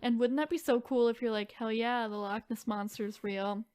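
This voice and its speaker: High-voiced woman, casual podcast banter. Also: fairly even intonation, moderate pace, a little mellow, smooth, no echo, clean studio sound. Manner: informal and profane, with frequent "like". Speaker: podcast co-host.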